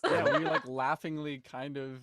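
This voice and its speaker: A woman's voice: sits low, wildly swooping pitch, normal speed. A woman voice actor talking informally in a group chat with fellow cast members. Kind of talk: casual chat.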